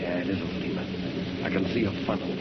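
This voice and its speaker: A man's voice: deep voice, fairly even intonation, quick pace, close to an everyday voice. An actor playing a gloating, murderous madman who commands a pirate submarine in an old-time radio drama, theatrical and barking orders. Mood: gloating, relishing. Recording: muffled, very noisy old radio recording.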